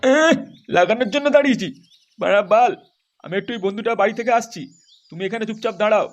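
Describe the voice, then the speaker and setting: Man voicing a high-pitched, jealous cartoon husband. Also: fast talker, lo-fi sound, slight background noise. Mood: irritated, evasive. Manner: brash, swearing, threatening. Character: jealous, foul-mouthed husband, gang boss of his buddies. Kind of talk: crude comedy cartoon voice acting.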